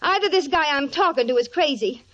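A woman's voice: sits high, expressive, a little fast, fairly bright tone, dry recording. An actress playing a flirty, anxious married woman at a bar in 1950s radio drama style. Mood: panicked. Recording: dull, muffled old radio recording.

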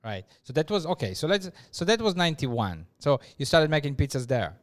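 Lively-voiced male interviewer asking questions. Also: a little high, brisk. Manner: curious, prompting questions. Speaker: interview host. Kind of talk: casual interview.

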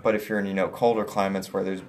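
A young man with a lowish, soft voice talks as a guest in a casual chat. He rambles, leans on "like" constantly and restarts his sentences. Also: flat delivery, fast. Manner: rambling, heavy use of "like", restarts.

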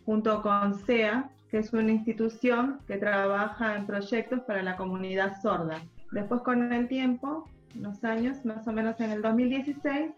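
Woman, sign interpreter, hesitant delivery, boomy dull room, faint music. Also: reverberant. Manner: halting, many restarts and pauses. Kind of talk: interview answers voiced for a deaf guide.

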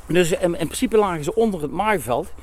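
A man acting as a local guide outdoors, explaining things off the cuff in a halting way, often repeating and rephrasing himself. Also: sits high, lively intonation, darkish tone. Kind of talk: unscripted explanation on location. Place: outdoors at a forest edge.

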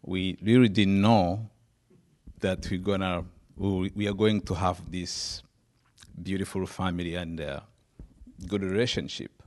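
A man from Rwanda giving a church testimony, speaking slowly and emphatically with pauses between phrases. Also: deep voice, steady tone, darkish tone. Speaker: missionary guest.

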